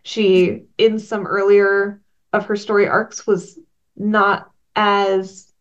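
A woman's voice: medium pitch, flat delivery, slow pace, highs cut off. A woman being interviewed as a comic writer, earnestly explaining her work at length with frequent ums. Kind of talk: thoughtful casual interview talk.

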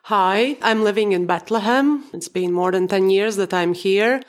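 Medium-pitched woman podcast guest. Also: normal speed. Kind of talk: casual self-introduction.

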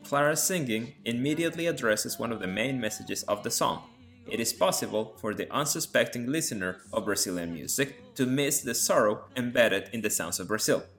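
A man, a native Spanish speaker, narrating and explaining song lyrics as a host in a measured tone. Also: medium pitch, expressive, fairly bright tone, faint music behind. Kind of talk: scripted narration.

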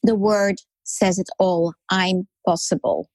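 A woman's voice: mid-range voice, steady tone, slow, a little mellow, no room sound. A woman in her sixties from France, a podcast guest telling her story in an emphatic way, repeating words for rhythm. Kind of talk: storytelling interview talk.

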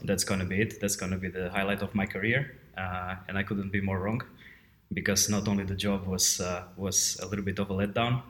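A man speaks as an interview guest, in a conversational way. His voice sits low, and the room around him adds a clear echo. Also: fairly bright tone. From Portugal. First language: Portuguese. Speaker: guest, head of quality assurance. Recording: roomy, echoey sound.

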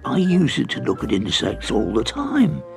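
A male storyteller voices a shy teenage girl who is quietly passionate about science. The pitch is raised and bounces eagerly, with stumbles and restarts, and a little gravel remains in the tone. It sounds like an audiobook character, earnest and a bit awkward. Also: wildly swooping pitch, normal speed, music in the background, full character voice. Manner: hesitant, stammering, eager. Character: shy, clever teenage schoolgirl.

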